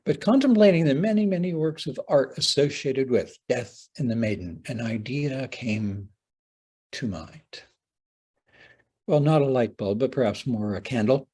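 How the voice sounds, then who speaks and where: A man delivers a prepared lecture in a husky voice at a slow pace. His phrasing is theatrical and deliberate, setting words apart with dramatic pauses, like a writer presenting his own work to a conference. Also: medium pitch, a little mellow. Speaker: playwright giving a talk.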